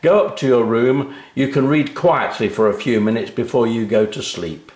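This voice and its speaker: Guy playing a cross dad in a kids story, scolding, mid pitch, echoey room. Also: normal speed, darkish tone, lightly acted. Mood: stern. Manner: scolding, emphatic. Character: stern, fed-up father scolding his daughter. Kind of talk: children's storybook character voice.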